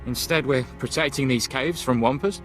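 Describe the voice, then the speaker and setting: A man plays a restless captain in a sci-fi game. His bright, forceful, medium-pitched voice is blunt and urgent. Faint music plays behind. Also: normal speed, natural voice. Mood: impatient. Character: restless, frustrated male army captain. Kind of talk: video game voice acting.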